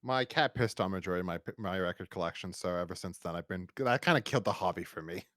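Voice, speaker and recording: American male podcast host, on the low side, chatty and stumbling over restarts, recorded dry. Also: fast talker, fairly bright tone, dry recording. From United States. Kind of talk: casual review podcast chat.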